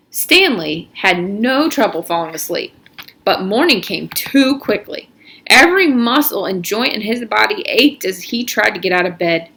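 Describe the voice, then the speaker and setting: A woman reading a children's story aloud in an expressive, animated narrating style. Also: mid-range voice. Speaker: reader of a children's novel aloud. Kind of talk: read-aloud story narration with character voices.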